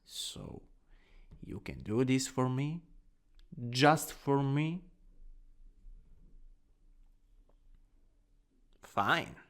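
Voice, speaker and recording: Slow, coaxing Italian-accented man acting a male teacher, reverberant. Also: medium pitch, animated, a bit bright, exaggerated voice. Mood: coaxing, tender. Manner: coaxing, doting, instructive, unhurried. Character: gently dominant male anatomy teacher, doting on a catgirl-like pet companion. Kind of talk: ASMR roleplay, scripted. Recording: long reverberant hall echo.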